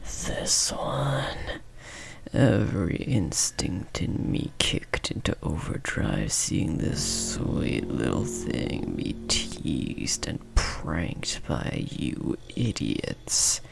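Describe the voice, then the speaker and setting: A man voicing a possessive insectoid parasite, a doting yet menacing lover, in a breathy, gravelly whisper with slow, theatrical crooning for an audio horror roleplay. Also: sits low, huge pitch swings, a little hiss or hum, exaggerated voice. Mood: indignant.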